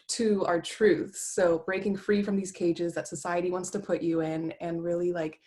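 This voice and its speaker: A woman's voice: on the low side, a little mellow. A woman from Florida, a meditation coach, speaking earnestly and reflectively about her community, with frequent use of "like.". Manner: earnest, reflective, uses filler "like". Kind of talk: online workshop presentation.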